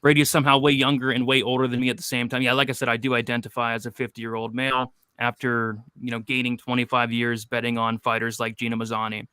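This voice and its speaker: A man's voice: mid-range voice, flat delivery, quick pace, hardly any pauses, pushed and bright, clean tone, dry recording. A man in his twenties hosting a casual betting livestream, reading chat questions and joking loosely. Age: 20s. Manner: loose, jokey, reads chat questions aloud. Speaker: host of a betting show.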